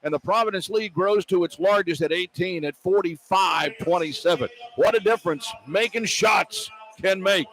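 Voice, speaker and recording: A man's voice: high voice, moderate pace, few pauses, faint music behind. A man calling live basketball play-by-play on the radio, describing the action in clipped running phrases. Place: large indoor basketball arena. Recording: noisy live arena broadcast. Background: loud arena ambience.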